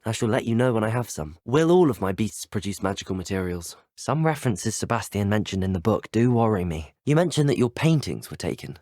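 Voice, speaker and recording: Young wizard student in a video game, polite n earnest, husky voice, really animated intonation. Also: male voice, on the low side, a little fast, few pauses, no room sound, clean studio sound. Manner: courteous, well-spoken, formal phrasing. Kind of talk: acted video game dialogue clips.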